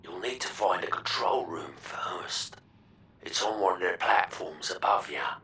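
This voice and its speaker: An actor playing a gruff, shady guide in a grim sci-fi video game, speaking in a hushed, terse, breathy rasp. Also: male voice, sits low, measured pace, reverberant, far from the mic, a little hiss or hum. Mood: terse, instructing.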